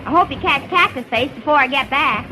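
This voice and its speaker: A brisk, sassy young cowgirl with a high, sharp American voice. She performs in 1930s radio comedy style on a faintly noisy broadcast. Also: animated.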